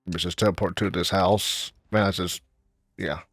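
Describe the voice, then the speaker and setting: A man giving casual, sparse commentary as he plays a video game, low-key and terse. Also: fairly even intonation, moderate pace, a bit bright, no room sound, studio quality. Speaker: video game player recording a playthrough.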